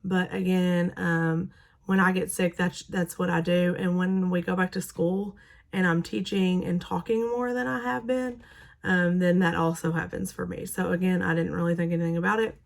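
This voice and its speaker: A young woman speaking in a naturally raspy voice that sounds even more hoarse now she is sick. Her pitch sits a little low. She rambles casually with lots of ums and restarts. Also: moderate pace. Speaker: teacher. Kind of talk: personal vlog recounting illness.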